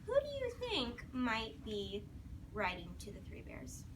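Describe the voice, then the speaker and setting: A woman's voice: a little high, wildly swooping pitch, measured pace. A woman teacher reading a storybook aloud to young children, encouraging them and putting questions to them as she goes. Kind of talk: interactive classroom storybook read-aloud. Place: classroom with children. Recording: noisy classroom, distant microphone.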